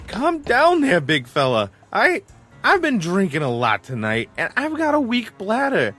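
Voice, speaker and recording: A man's voice: high-pitched, huge pitch swings, unhurried, sharp tone, soft background music, heavily acted. A man acting as a hapless, hard-drinking small-time crook in an audio drama, nervous, wheedling and self-pitying. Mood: pleading.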